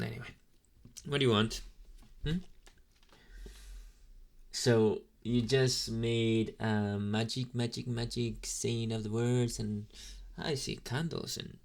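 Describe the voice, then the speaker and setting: Man playing a slow-talking, sleepy slacker demon boy. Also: medium pitch, gravelly. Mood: mildly irked. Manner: halting, casual, deadpan, mumbling asides. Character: young male demon, inexperienced, sleepy, mildly snarky slacker. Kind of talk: ASMR roleplay addressed to the listener.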